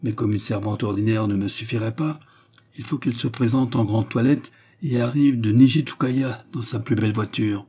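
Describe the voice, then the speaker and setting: A man's voice: medium pitch, normal speed, dark tone, slightly rough. A man voicing a blind, brutal commissar in a story, vengeful, domineering and exclamatory, with sneers and rage. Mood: mocking.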